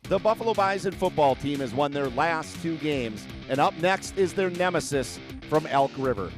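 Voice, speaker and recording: A man's voice: a little high, animated, moderate pace. An American man, a radio sportscaster, reading a scripted promo for an upcoming broadcast. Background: guitar music bed.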